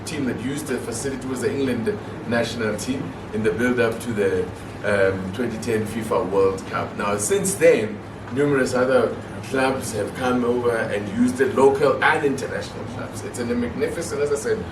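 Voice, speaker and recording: Loud jovial male emcee in echoey hall. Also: mid-range voice, moderate pace, hardly any pauses. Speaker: master of ceremonies. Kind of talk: event hosting. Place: hotel function room. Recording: echoey room from far off, noisy.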